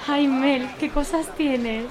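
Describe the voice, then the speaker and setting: A woman's voice: sits high, takes it slowly, poor audio. A woman playing a shy, lovesick market vendor who sighs and stammers nervously, over crowd murmur. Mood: bashful. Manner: bashful, sighing, nervous. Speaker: character in a radio serial.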